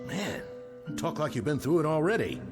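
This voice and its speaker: An actor playing a tough, jaded convict in a radio drama, with blunt, slangy talk. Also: male voice, a little high, big pitch swings, fairly quick. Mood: wary, jaded. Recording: radio drama with clear music bed.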